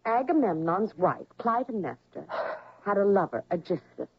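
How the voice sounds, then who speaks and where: Skeptical, husky, expressive partner. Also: female voice, medium pitch, moderate pace, full character voice. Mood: instructive. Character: skeptical, reasoning woman, partner of the doctor. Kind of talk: radio drama acting. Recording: dull, lo-fi old radio recording.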